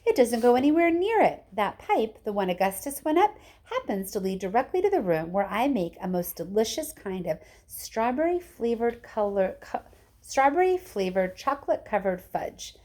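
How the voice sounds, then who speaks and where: A woman voicing an excitable, eccentric man who owns a chocolate factory, emphatic and repetitive, in a children's story. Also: a little high. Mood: emphatically dismissive. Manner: emphatic, repetitive, brisk reassurance. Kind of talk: children's storybook read aloud.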